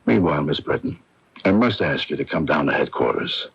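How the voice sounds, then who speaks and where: An actor playing a stern police lieutenant in a radio mystery, formal and official, on a radio broadcast recording. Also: male voice, rumbling low, animated, fairly quick, gravelly, slightly rough, dull audio, full character voice. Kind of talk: old-time radio mystery drama acting.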